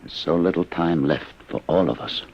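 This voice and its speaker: An actor playing a weary, haunted older refugee inventor, courteous and grave, with a husky, gravelly voice, in old radio drama. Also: male voice, deep voice, moderate pace, loud music behind the voice, lightly acted. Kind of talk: 1950s radio drama acting. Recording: dull vintage radio recording.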